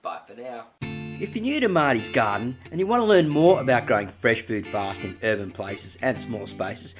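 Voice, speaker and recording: A man hosting a casual gardening tutorial, chatty and full of fillers, his voice slightly croaky. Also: medium pitch, animated, normal speed, reverberant, recorded from a distance, soft background music. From Australia. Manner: chatty, many "uh" and "you know" fillers. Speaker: gardening channel host.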